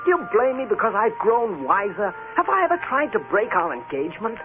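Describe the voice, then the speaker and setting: An impatient young suitor in an old radio play, defensive and indignant. His very high, swooping voice comes through a tinny vintage broadcast. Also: wildly swooping pitch, a little fast. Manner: emphatic, indignant questioning. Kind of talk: 1930s radio drama acting. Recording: vintage band-limited radio broadcast with music behind.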